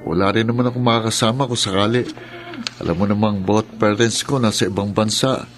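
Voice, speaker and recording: A man's voice: on the low side, steady tone, a little fast, faint music behind, close to an everyday voice. An actor playing an easygoing, lovestruck male college student in a radio drama. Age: young adult. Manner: easygoing, pleading.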